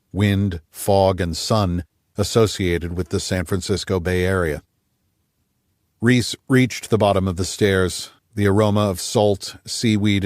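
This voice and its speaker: A man's voice: on the low side, darkish tone, dry recording, clean studio sound. A man reading a scripted thriller audiobook as narrator.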